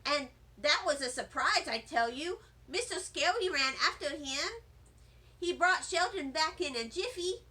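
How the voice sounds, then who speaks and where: A woman reading a children's storybook as an excitable, dramatic little first-grade girl, with childlike grammar and emphatic delivery. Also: sits high, a little slow, heavily acted. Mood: breathless, dramatic. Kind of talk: children's storybook read-aloud.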